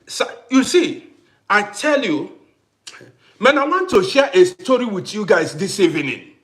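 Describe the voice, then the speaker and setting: A man hosting a casual livestream who greets viewers by name, repeats himself, and swings between loud bursts and quiet asides. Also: high voice, very animated, a little slow. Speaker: livestream host.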